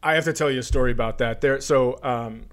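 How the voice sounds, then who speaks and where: A man's voice: medium pitch, quick pace. A man co-hosting a comedy podcast, telling stories in an amused, emphatic, profane way. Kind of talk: casual comedic podcast banter.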